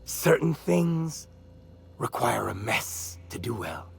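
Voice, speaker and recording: An actor playing a pompous, menacing meat tycoon and killer in a video game, florid and mock-polite, dropping into breathy whispers. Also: male voice, medium pitch, very animated, takes it slowly, a little hiss or hum, exaggerated voice. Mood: sly, menacing. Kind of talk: video game character acting.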